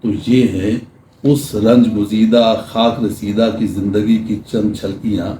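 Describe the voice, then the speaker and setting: An older man giving a literary talk in measured, formal diction with deliberate pauses, reading prose and reciting verse. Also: lowish voice, flat delivery, warm tone. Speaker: lecturer. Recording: roomy echo with faint background noise.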